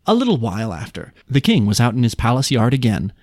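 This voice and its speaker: A man's voice: medium pitch, wildly swooping pitch, quick pace, a little mellow, no echo, studio quality. A man reading a folk tale aloud as a storytelling podcast host.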